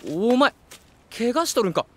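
A man acting an easygoing, well-meaning young high school teacher with a Kansai accent, mild and gently scolding. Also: very animated, normal speed, a little hiss or hum, full character voice. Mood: stern concern. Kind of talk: Japanese voice drama acting. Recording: music behind the voice.

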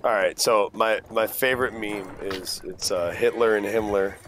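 A man's voice: fairly low pitch, fairly even intonation, normal speed, fairly bright tone, slight background noise. A young man gaming over voice chat, teasing and cracking crude jokes. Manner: teasing, crude joking with laughs. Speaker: gamer. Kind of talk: casual voice chat while playing.

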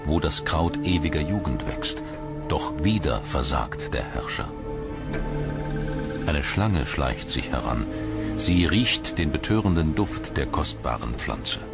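A man with a low, deep voice narrates a documentary. His delivery is scripted and measured, like storytelling. Prominent music plays behind him. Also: expressive, gravelly. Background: prominent background music.

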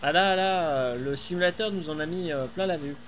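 A man's voice: a little high, a little fast, fairly bright tone, a little hiss or hum. A middle-aged man streaming a rally game from a small room, chatting casually and thinking aloud with many fillers. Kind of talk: live gameplay chat.

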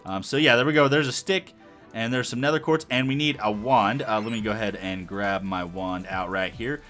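A young man casually narrating his Minecraft play, rambling and thinking aloud with lots of fillers, his voice a little hoarse. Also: on the low side, normal speed, a bit bright, faint music behind. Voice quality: hoarse-sounding. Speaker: Minecraft let's play host. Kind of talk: casual gameplay commentary.

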